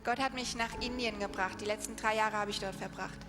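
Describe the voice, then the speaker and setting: A woman's voice: medium pitch, steady tone, fairly quick. A woman interpreting live from a stage, passing on each phrase in turn. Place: large event hall. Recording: hall reverb, music behind, live PA. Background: music.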